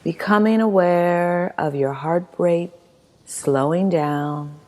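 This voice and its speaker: A woman leading a guided meditation as instructor, reading in a soothing, measured manner with long pauses. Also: fairly low pitch, darkish tone. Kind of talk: guided meditation, scripted narration. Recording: long hall-like reverb.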